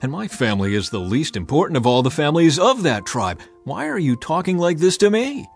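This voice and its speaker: A man's voice: on the high side, sing-song, a little fast, creaky, soft background music, voice pushed up, exaggerated voice. A man playing a humble, doubtful young Israelite man in a dramatized scripture reading. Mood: humble, puzzled.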